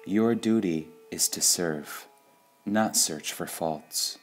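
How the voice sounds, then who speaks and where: A man reads short spiritual sayings in a measured, deliberate devotional style, pausing between phrases. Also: deep voice. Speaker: reader of spiritual quotations. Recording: music under the voice. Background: background music.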